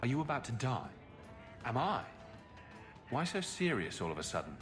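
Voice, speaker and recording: A man's voice: medium pitch, sing-song, normal speed, over music, lightly acted. A man playing a sardonic champion hero in a video game, with a dry, teasing manner.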